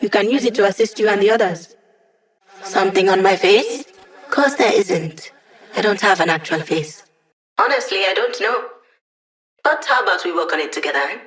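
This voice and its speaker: A woman's voice: medium pitch, animated, normal speed, pauses often, fairly bright tone, natural voice, low-quality recording. A woman playing a brainy, wry digital hacker in a video game, talking casually and playfully as the squad's tech support. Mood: wry, helpful. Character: cheeky, brainy female hacker, a digital holographic young woman.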